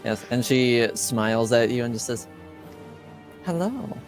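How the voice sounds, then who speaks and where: A man narrating a tabletop game casually as game master, in a hesitant, halting way. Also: medium pitch, monotone, soft background music.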